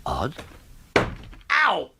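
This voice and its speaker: An actor playing a flustered, hapless young English gentleman in a comic radio drama, with a plummy upper-class accent and a blustering manner. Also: a little high, huge pitch swings, extremely slow, gravelly, background noise, exaggerated voice. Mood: furtive. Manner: plummy, blustering, sarcastic, stammering when cornered.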